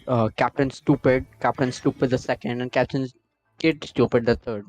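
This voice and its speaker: A man's voice: mid-range voice, steady tone, moderate pace, darkish tone, faint music behind. A man chatting casually while he plays a game on a live stream. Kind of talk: casual gameplay chat.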